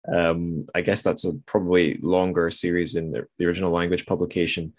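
A man's voice: low-pitched, little pitch movement, fairly quick, no echo, studio quality. A man hosting a casual podcast discussion, speaking in a tentative way and often qualifying what he says. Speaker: podcast host.

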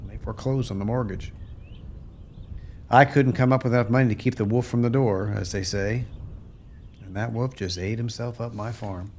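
A man's voice: fairly low pitch, steady tone, moderate pace, long pauses, darkish tone, a little hiss or hum, close to an everyday voice. A man playing a weary, plainspoken American farmer who has lost his family land, in a folksy radio play style. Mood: weary, bitter.

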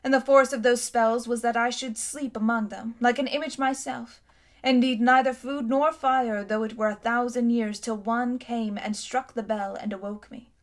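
A woman voicing a cold, imperious tyrant queen in a storybook reading, speaking loudly and grandly. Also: on the high side, steady tone, moderate pace, natural voice. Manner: haughty, commanding, grand.

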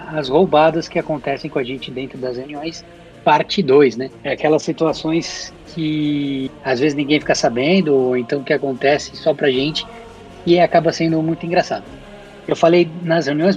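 A Brazilian man with a fairly high voice hosts a casual group podcast. He banters with slang and teases freely, over faint background music. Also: darkish tone, rough recording. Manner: teasing, slangy. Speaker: podcast host.

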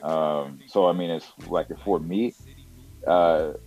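A man with a very deep voice, talking casually as an interview guest about his music, full of "you know" and "like". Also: steady tone, slow pace, warm tone, highs cut off. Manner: rambling, filler-heavy with "you know" and "like".

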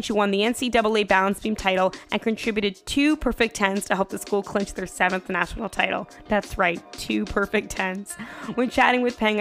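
Upbeat young woman hosting, soft music. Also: medium pitch. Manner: upbeat, says "like" often. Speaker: podcast host. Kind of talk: casual interview chat.

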